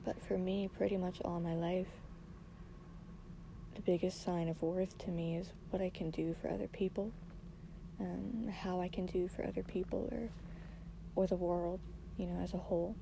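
A woman hosting a personal podcast while losing her voice; she talks softly and haltingly, raspy and hoarse, with long pauses. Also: on the low side, steady tone, noisy background. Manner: soft-spoken, halting, reflective, many pauses. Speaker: podcast host. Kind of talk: personal solo podcast monologue.

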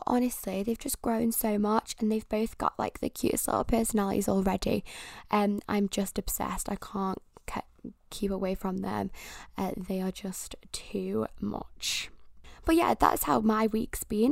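A young woman with a croaky voice hosting her own podcast, chatting casually and rambling with lots of fillers. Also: on the high side, no echo, clean studio sound. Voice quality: croaky, gravelly voice. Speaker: podcast host. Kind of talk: casual solo podcast chat.

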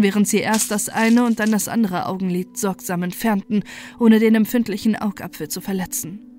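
Female horror narrator, mellow and dark, grave measured storytelling, scripted, light music bed. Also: medium pitch.

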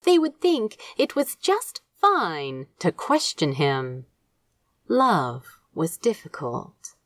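Slow, expressive woman narrating fiction. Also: fairly low pitch, dry recording. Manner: measured, expressive. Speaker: fan fiction audiobook narrator. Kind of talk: scripted story narration.

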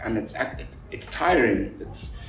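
A man from South Africa whose first language is Afrikaans, talking casually and telling stories quickly with lots of fillers. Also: medium pitch, gravelly, recorded from a distance. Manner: animated storyteller, talks quickly with many fillers. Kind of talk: casual conversation. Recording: roomy with background noise.

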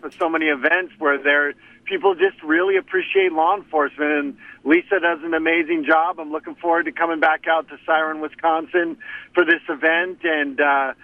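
A man's voice: a little high, dry recording. A sheriff from Arizona in his fifties, talking earnestly and plainly as a guest calling into a show. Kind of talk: casual chat over the phone. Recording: tinny phone line.

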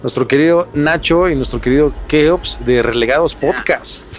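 A man's voice: fairly high pitch, moderate pace, a little hiss or hum. A man with a Mexican accent hosting a podcast, telling things conversationally and posing rhetorical questions as he speculates. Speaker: podcast host.

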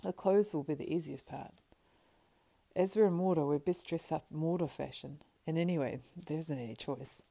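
A woman narrator plays a faithful hobbit servant from a fantasy storybook, earnest and practical. He speaks in humble, rustic turns of phrase, with a mellow, creaky grain to the voice. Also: sits low, normal speed. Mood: reassuring, practical. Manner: deferential, homely rustic phrasing.

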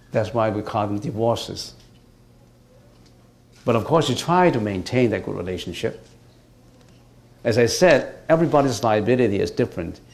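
Low-voiced teacher. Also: male voice, a little mellow. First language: Cantonese. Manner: halting, many fillers and restarts, rising emphatically on key points. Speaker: Buddhist teacher. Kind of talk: dharma talk answering questions.

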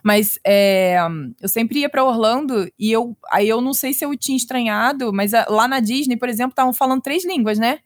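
A woman from Brazil tells a story in a lively, animated way during a casual podcast chat. Her voice is fairly high. The recording sounds dry and close, with no room echo. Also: normal speed. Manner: lively, animated anecdote telling.

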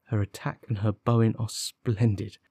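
A man voicing a confident, impatient gentleman detective, articulate and sardonic, in an audiobook. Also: fairly low pitch, level tone, normal speed, husky, dry recording, studio quality. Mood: excited. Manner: articulate, commanding, witty. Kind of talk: audiobook character dialogue.

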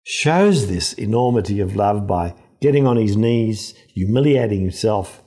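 Low, mellow man teaching scripture. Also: expressive. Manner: deliberate, emphatic, didactic, with repetition for emphasis. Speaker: priest and scripture professor giving a gospel reflection. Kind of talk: explanatory religious teaching, verse by verse.

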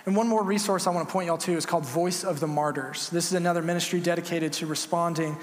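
A man's voice: on the high side, steady tone, fast talker. A man preaching a sermon as pastor, earnest and conversational with some fillers. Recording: echoey hall with long reverb.

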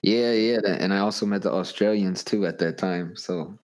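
A man from the United States speaks in a low voice as a podcast host. His interview style is loose and conversational, full of informal hip hop slang. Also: normal speed, darkish tone. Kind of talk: casual interview chat.

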